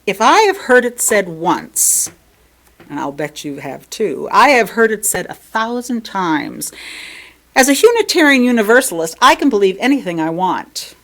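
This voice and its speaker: An American woman reads a sermon aloud from a script as a lay speaker, phrasing it in a measured, deliberate way. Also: mid-range voice, big pitch swings, a bit bright.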